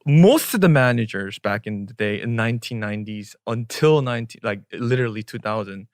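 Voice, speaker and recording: A man's voice: lowish voice, brisk, dry recording, studio quality. A man whose first language is Korean, co-hosting a podcast in English and explaining at length, dropping into Korean phrases now and then. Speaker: podcast co-host. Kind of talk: casual podcast discussion.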